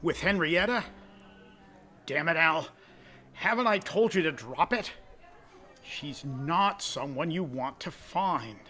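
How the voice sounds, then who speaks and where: An earnest soldier home from the war speaks in a 1940s radio drama. He takes his time, in a somewhat high male voice, affectionate yet exasperated with his brother. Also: expressive, slow, pauses often, sharp tone, soft background music, heavily acted. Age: middle-aged.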